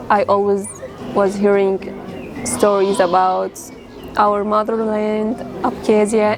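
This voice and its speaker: A young woman from Syria, telling her family's story in an interview, halting and full of fillers. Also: mid-range voice. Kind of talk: personal storytelling.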